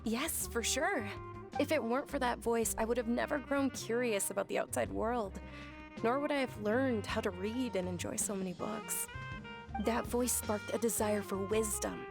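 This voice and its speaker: Gentle young woman, slightly creaky voice. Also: fairly high pitch, normal speed. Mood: wistful, earnest. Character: gentle, earnest young woman recalling a sickly childhood. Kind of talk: scripted video game dialogue. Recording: game audio with music underneath.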